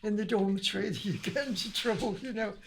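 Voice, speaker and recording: A woman's voice: mid-range voice, brisk, vocal fry, slight background noise. An older woman with a Lancashire accent, from Manchester, answering interview questions in a chatty, reminiscing way with frequent restarts. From Manchester, England. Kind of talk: casual interview chat.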